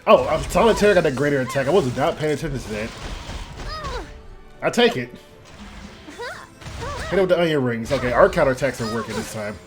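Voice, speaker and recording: A man's voice: medium pitch, animated, a little fast, pauses often. A man giving casual, rambling play-by-play of a video game with playful slang. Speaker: gaming video host. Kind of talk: casual gameplay commentary. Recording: game music behind voice. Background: game music.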